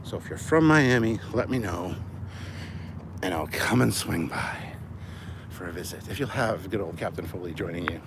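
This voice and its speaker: A man's voice: low-pitched, animated, moderate pace. A man livestreaming casually as he walks outdoors, talking in a rambling, joking, sweary way. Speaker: livestream host. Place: outdoors, walking along city streets near traffic. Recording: noisy outdoor street with passing cars.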